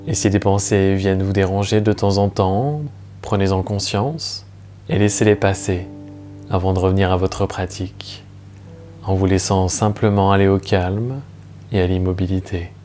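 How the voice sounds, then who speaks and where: Low-voiced man guiding relaxation over music. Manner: slow, calm, soothing, measured with long pauses. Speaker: guided meditation teacher. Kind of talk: guided relaxation narration. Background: soft plucked guitar music.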